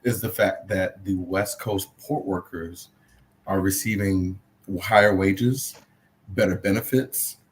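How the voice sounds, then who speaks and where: A man with a somewhat low voice, co-hosting a podcast. He talks through the news in a relaxed, joking way, with plenty of fillers. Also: slow, low-quality recording. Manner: laid-back, joking, with fillers. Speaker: podcast co-host presenting news. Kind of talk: casual chat.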